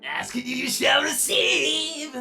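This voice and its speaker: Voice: high voice, lively intonation, slow pace, gravelly, pushed and bright, soft background music, voice pushed up, heavily acted. An actor voicing a flirty, manic robot jester from a cartoon, teasing and suggestive in a theatrical way.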